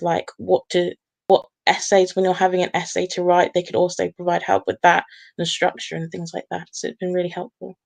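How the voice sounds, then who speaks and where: Flat-voiced woman, law student. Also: on the low side, gravelly. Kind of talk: panel discussion.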